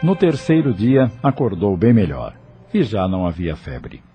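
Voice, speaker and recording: A man's voice: lowish voice, huge pitch swings, measured pace, dull audio, over music. A man narrating an audio novel in a dramatic, scripted storytelling style.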